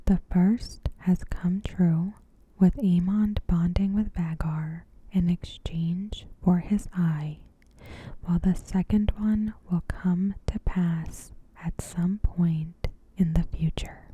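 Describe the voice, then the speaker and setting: A woman softly whispering scripted ASMR commentary in a breathy, gentle and measured way. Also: lowish voice, steady tone. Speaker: ASMR host.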